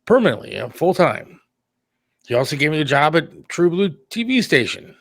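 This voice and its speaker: A man's voice: on the high side, very animated, normal speed, no echo, clean studio sound. A middle-aged man talking candidly and casually on a talk show, plain-spoken and a little defensive. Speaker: radio producer and talk show host.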